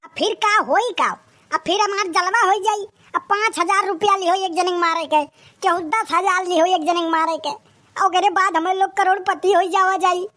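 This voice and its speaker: A woman's voice: extremely high pitch, steady tone, normal speed, pushed and bright. A woman with an Awadhi accent voicing a scheming, boastful young male wannabe gangster in a comic cartoon, pushy and bragging.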